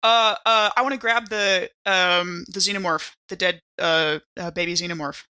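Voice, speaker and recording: A woman's voice: fairly low pitch, fairly even intonation, normal speed, pushed and bright, clear, resonant tone, dry recording. A woman playing a tabletop role-playing game, chatty and casual, improvising her moves and thinking aloud.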